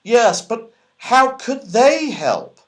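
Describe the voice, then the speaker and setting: A man's voice: extremely high pitch, big pitch swings, drawn-out words, a bit bright, voice pushed up, exaggerated voice. A man voicing a kindly, worried magical tree-dwelling fellow in a children's storybook. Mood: doubtful.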